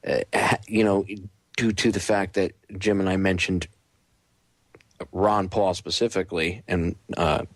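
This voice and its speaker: A man's voice: low voice, little pitch movement, measured pace, dry recording. A man hosting a radio show, talking casually and directly to his listeners.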